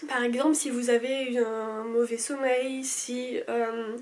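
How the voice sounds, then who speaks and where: Stuffy-nosed young woman, high voice. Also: little pitch movement, a little slow, a little hiss or hum. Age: 20s. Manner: chatty, rambling, many fillers and self-corrections. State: stuffy, blocked nose from a cold. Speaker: beauty vlogger. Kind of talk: casual product review chat.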